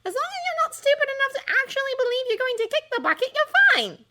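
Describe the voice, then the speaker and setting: A woman's voice: very high-pitched, animated, a little fast, fairly bright tone, a little hiss or hum, voice pushed up. A woman voicing a dismissive, superior schoolgirl who talks in a clipped, know-it-all way.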